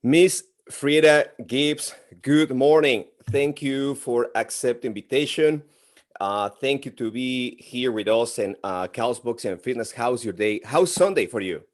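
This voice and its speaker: A man from Mexico City with a Mexican Spanish accent hosting an interview in halting English, often restarting his sentences. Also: mid-range voice. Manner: halting, with many restarts and filler sounds. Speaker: interview host. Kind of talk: casual interview.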